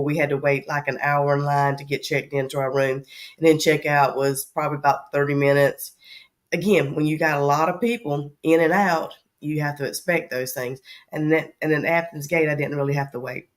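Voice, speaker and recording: A woman with a Southern accent hosting a travel vlog in casual chat, her voice scratchy from allergies and her talk rambling with frequent ums. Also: low voice. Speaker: travel vlogger host.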